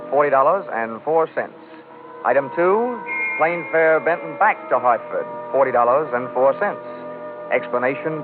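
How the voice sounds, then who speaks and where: Male actor as tough American investigator, wry clipped wisecracks, very animated pitch, old-time radio drama, muffled vintage sound. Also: lightly acted. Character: wry, tough, cocky freelance insurance investigator. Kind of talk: 1940s-50s radio detective acting, first-person narration.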